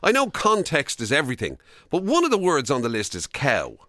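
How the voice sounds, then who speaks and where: Animated Irish man, wry radio banter. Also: medium pitch, brisk, no echo, studio quality. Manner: wry, mock-serious. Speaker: radio breakfast show co-host. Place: radio studio.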